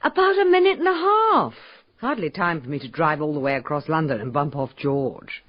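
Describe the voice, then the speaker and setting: A woman's voice: deep voice, very animated, normal speed. An actress playing a vain, breezy society lady with an airy, capricious, theatrical manner in a radio drama. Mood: airy, unconcerned. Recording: slightly muffled radio drama sound.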